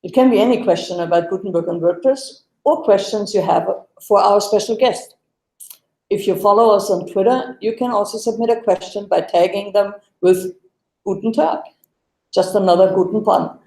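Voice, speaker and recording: Woman, 50s, show host, mellow voice, echoey room, webcam call. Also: medium pitch, moderate pace, gravelly. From Germany. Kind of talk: live web show Q&A. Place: home room, video call.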